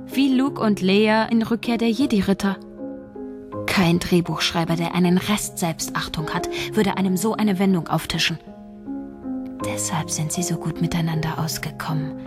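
An actress playing a scheming, bitter young writer in a radio drama, intense and sarcastic, part dialogue and part inner monologue. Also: female voice, fairly low pitch, natural voice. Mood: stunned, bitter. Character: scheming, bitter young woman soap writer narrating her intrigues. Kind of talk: radio drama acting and first-person narration.

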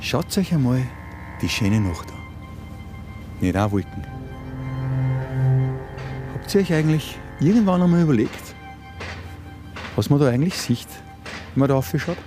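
An actor playing a domineering, sarcastic hijacker with an Austrian accent and colloquial dialect in a radio drama. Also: male voice, mid-range voice, expressive, measured pace, pauses often, over music, natural voice. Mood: preaching. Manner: bossy, sarcastic, lecturing. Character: domineering hijacker, a conspiracy-minded man holding two hostages.

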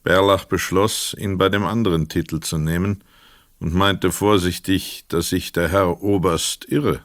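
An actor narrating a crime story in a radio play in a measured, precise manner. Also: male voice, low-pitched, fairly even intonation, a bit bright, dry recording, clean studio sound.

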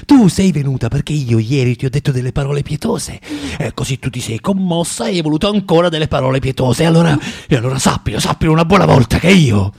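An actor playing a bitter, proud, self-loathing recluse in a theatrical radio drama, with a volatile, declamatory and halting delivery. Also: male voice, on the high side, expressive, creaky, darkish tone, slightly rough, heavily acted. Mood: accusing, cruel.

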